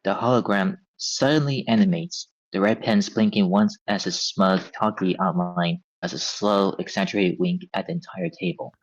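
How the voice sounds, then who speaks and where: Low-voiced male narrator, dull recording. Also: normal speed, hardly any pauses. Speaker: narrator reading stage directions. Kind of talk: scripted narration at a live table read. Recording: dull, lo-fi audio.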